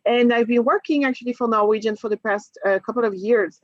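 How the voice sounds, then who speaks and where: A French-accented woman presents to a group over a video call, her voice sitting a little high. The audio is dull and lo-fi, and completely dry. She laughs often and restarts phrases as she goes. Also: fairly quick, dry recording. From France. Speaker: cruise line sales manager.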